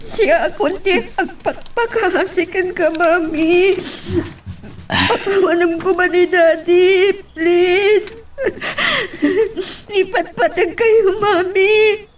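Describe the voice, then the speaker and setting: A woman's voice: very high-pitched, steady tone, slow, slight background noise. A woman voicing a tearful young child, whimpering and pleading with a parent in a family drama. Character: tearful young child begging mother to forgive father. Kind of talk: Ilocano romantic radio-style drama acting.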